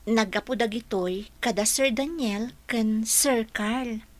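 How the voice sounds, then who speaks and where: A woman's voice: fairly high pitch, slow, dry recording. A woman playing an earnest, respectful young office employee in a radio drama, speaking with some hesitation. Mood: troubled. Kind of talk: Ilocano radio drama acting.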